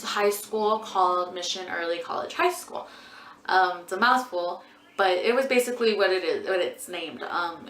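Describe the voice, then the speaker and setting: A woman's voice: medium pitch, fairly bright tone, slight background noise. A twenty-year-old woman answering viewer questions as a beauty vlogger in a casual, rambling way, full of ums and likes. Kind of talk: casual Q&A chat. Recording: echoey room.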